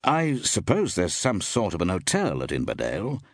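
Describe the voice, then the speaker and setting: A polite, easygoing gentleman traveller, played by a male narrator. His intonation moves about a good deal, with a slightly husky tone. The manner is measured and mildly amused, suited to a storybook mystery. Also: low-pitched, wildly swooping pitch, dry recording, studio quality, heavily acted.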